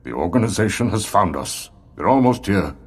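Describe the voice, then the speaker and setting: Casting: menacing, contemptuous game figure, deep register with dramatic swings, husky and bitter. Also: male voice, sing-song, moderate pace. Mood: scornful alarm. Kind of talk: video game cutscene voice acting.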